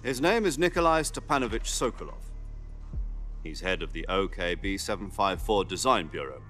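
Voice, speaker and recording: A brisk military commander. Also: male voice, low-pitched, animated, fairly bright tone, soft background music. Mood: briefing. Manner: brisk, authoritative, explanatory. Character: veteran male military commander, briefing his agent. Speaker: mission commander. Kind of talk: video game cutscene acting.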